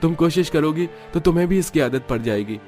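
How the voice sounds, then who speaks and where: An earnest young husband from a cartoon story, acted out by a man. He is protective of his wife and speaks in a raised pitch, with music playing behind him. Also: expressive, brisk, a little mellow, lightly acted. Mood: concerned, placating. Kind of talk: animated story character dialogue.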